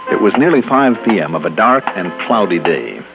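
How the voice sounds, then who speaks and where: An actor playing a breezy, wisecracking American insurance investigator in a punchy, theatrical old-time radio style. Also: male voice, sits low, very animated, a little fast. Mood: musing, confiding. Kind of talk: mid-century American radio detective acting. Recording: dull old radio recording, music behind.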